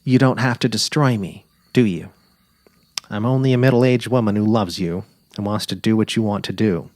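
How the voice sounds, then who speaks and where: A man's voice: fairly low pitch, normal speed, a little mellow, dry recording, clean studio sound, voice pushed up, lightly acted. A man voicing an affectionate middle-aged wife on safari, eager and tender, in a dramatic literary reading. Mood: pleading. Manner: cheerful, eager, tender, imploring.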